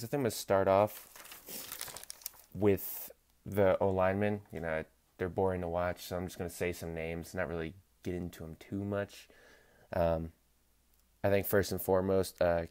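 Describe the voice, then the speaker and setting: Host, male, deep voice, nasal and stuffed up, loose rambling delivery with dry humor. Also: a little mellow, clear, resonant tone. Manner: rambling, loses his thread and corrects himself mid-sentence. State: stuffy nose from allergies. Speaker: podcast host. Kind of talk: casual solo sports podcast.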